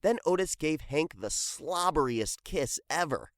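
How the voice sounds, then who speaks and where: A woman reading a children's book aloud in an expressive storytelling style. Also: sits low, unhurried, no echo, clean studio sound.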